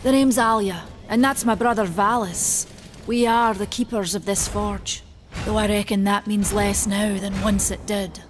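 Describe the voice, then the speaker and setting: A woman's voice: mid-range voice, steady tone, a little slow, a little hiss or hum, close to an everyday voice. Woman playing a bold blacksmith giantess. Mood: hearty, surprised. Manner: plain-spoken, bold. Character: hearty, proud giant maker blacksmith woman. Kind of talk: dark fantasy game acting.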